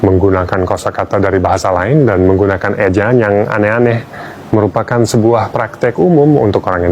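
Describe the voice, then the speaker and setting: A man's voice: on the low side, darkish tone, slight background noise. A man from Australia, a native English speaker, giving a wry, playful public talk as a language teacher.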